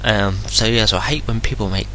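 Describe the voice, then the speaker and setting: A man's voice: lowish voice, a little fast, fairly bright tone, slight background noise, low-quality recording. An English man ranting indignantly and bluntly in a solo vlog, swearing freely. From England. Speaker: vlogger.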